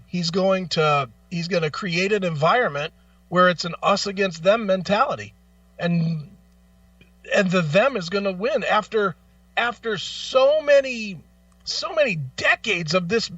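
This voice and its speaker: High-pitched, bright, blunt man. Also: dry recording, distant mic, dull audio. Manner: blunt, profane, emphatic, repeats phrases for stress. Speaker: talk show host. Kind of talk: casual opinionated commentary.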